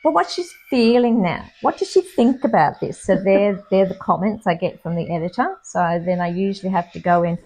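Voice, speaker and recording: Creaky-voiced woman, chatty author. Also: mid-range voice, brisk, hardly any pauses, poor audio. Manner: chatty, laughs easily, tumbling restarts; reads her own prose aloud with lively expression. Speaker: author and podcast guest. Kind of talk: casual interview chat. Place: home near trees with birds outside.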